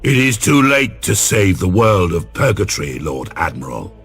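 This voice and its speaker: An actor playing a solemn Inquisitor of a sci-fi empire, speaking in a formal, measured way for a video game. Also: male voice, sits low, big pitch swings, fairly bright tone, slightly rough, faint music behind, exaggerated voice. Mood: grave, urgent. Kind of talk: dramatic video game voice acting.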